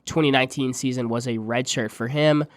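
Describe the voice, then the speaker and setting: A man with a congested, nasal voice while under the weather, hosting a podcast and giving a steady, stat-heavy sports preview. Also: mid-range voice, brisk, no echo, studio quality. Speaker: podcast host.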